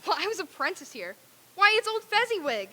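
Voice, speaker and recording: A woman's voice: very high voice, big pitch swings, a little fast, sharp tone, heavily acted. A woman playing a crotchety, frightened old miser in a blustering, theatrical radio-play reading. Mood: astonished. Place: theater stage. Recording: echoey hall, faint noise.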